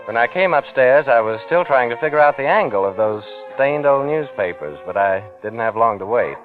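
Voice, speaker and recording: A man's voice: medium pitch, lively intonation, moderate pace, narrow, tinny audio, lightly acted. An American actor playing an uneasy, guilty man who tells his own story in a wry, hardboiled 1940s radio thriller style. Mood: brooding. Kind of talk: 1940s radio thriller acting, first-person narration. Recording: old radio recording, band-limited, faint music.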